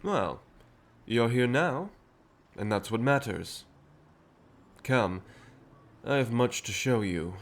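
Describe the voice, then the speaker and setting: An actor playing a cheerful, self-assured, century-old vampire rebel leader in an audio drama, courteous and persuasive with a theatrical manner. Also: male voice, medium pitch, animated, slow pace, long pauses. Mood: wry, chipper. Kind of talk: scripted audio drama acting.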